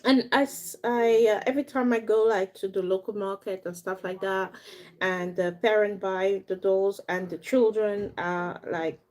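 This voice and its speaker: A woman's voice: mid-range voice, fairly even intonation, moderate pace, a little mellow. A young woman from Africa, a doll maker and fashion designer, chatting casually on a live stream, rambling and thanking viewers as she goes.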